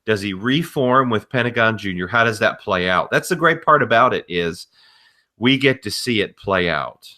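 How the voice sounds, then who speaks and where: A man's voice: lowish voice, expressive, moderate pace, pushed and bright. A man co-hosting a podcast, explaining things in a casual, knowledgeable way. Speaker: podcast co-host.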